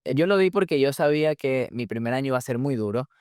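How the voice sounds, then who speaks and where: A man in conversation, explaining step by step as he goes, often restarting phrases and filling pauses. His voice sits at an ordinary middle pitch. The recording is dry and close, with no room echo. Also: lively intonation, a little mellow. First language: Spanish. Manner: explanatory, frequent restarts and fillers. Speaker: guest, surgery resident in the US. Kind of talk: conversational interview answers.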